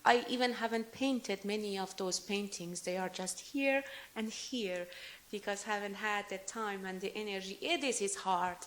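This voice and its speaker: A woman answering questions after a talk on her paintings, speaking in in an earnest, halting and emotional way. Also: medium pitch, rough recording. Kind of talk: lecture Q&A answer.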